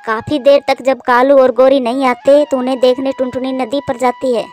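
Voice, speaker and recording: A woman's voice: high-pitched, level tone, normal speed, a little mellow, faint music behind. A woman reading scripted narration for a children's story.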